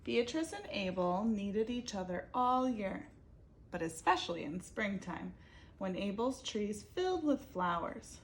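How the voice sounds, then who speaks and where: A woman's voice: medium pitch, sing-song, a little slow, slight background noise. A woman reading a picture book aloud expressively, stopping to ask her young listeners questions. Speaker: reader for children.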